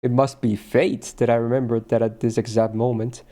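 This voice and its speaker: A man reading the lines of a quiet, withdrawn teenage schoolboy from a visual novel in a calm, matter-of-fact way. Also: mid-range voice, moderate pace, lightly acted. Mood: thoughtful.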